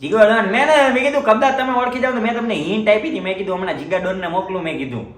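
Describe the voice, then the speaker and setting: A man's voice: high-pitched, racing, distant mic, over music. A man with a Saurashtra Gujarati accent chatting casually as a prank call host, apologetic and repeating his phrases. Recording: roomy echoing sound.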